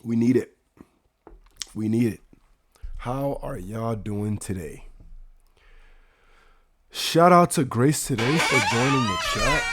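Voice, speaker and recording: A raspy-voiced man of middling pitch hosts a casual livestream. He talks slowly in slangy, hyped bursts, circling back to repeat his phrases. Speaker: livestream host.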